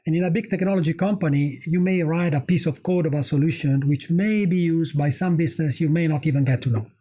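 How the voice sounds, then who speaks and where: A man's voice: on the high side, fast talker, mellow. A middle-aged man from Italy with an Italian accent, answering interview questions conversationally as an executive guest.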